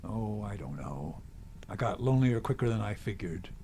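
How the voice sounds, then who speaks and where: A man's voice: fairly low pitch, expressive, normal speed, gravelly, dark tone, a little hiss or hum. An actor playing a cranky, world-weary old man in the woods, with a folksy, grumbling manner, in a radio drama. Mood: bitter, grumbling.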